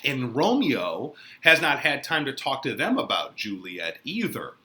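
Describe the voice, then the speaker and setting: A man giving informal, colloquial commentary as a literature teacher, in an expressive conversational manner. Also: medium pitch, unhurried.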